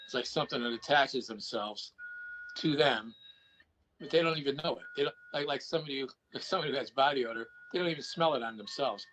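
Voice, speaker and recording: A man's voice: medium pitch, quick pace, a bit bright, a little hiss or hum, low-quality recording. Guy on a video call, rambly, keeps restarting his sentences, regular mid voice. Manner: rambling, repeats himself with many restarts. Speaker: paranormal investigator. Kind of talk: casual video-call chat.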